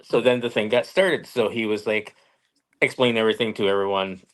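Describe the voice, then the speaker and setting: A man's voice: on the low side, fairly even intonation, fairly quick, rough recording. A man as an interview guest, chatting casually and telling stories in a plain-spoken way with short simple answers. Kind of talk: casual storytelling chat.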